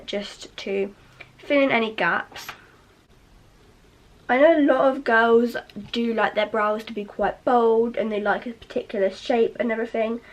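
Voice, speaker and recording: Young woman, fairly high voice, gravelly fry, slightly hoarse, chatty makeup tutorial. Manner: chatty, rambling with many fillers. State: losing her voice, slightly hoarse. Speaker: beauty vlogger.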